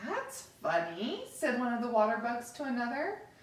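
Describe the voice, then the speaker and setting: A middle-aged woman reading a picture book aloud to children, with deliberate, expressive pauses, recorded across a living room. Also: fairly high pitch. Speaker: story time host reading to children. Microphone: camera across the room. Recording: echoey room, distant microphone.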